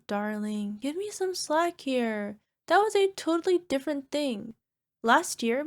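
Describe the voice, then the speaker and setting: A woman playing a small, sheepish cyclops monster girlfriend in an ASMR roleplay, wheedling and making cutesy, defensive excuses. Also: sits high. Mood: defensive, pleading. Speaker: voice actor.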